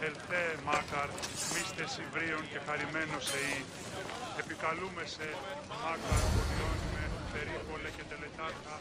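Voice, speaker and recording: A man performs a solemn worshipper of ancient Greece in a video game. He intones a prayer in a highish, utterly flat chant, half buried in loud ambient noise. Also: moderate pace, close to an everyday voice. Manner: incantatory, solemn.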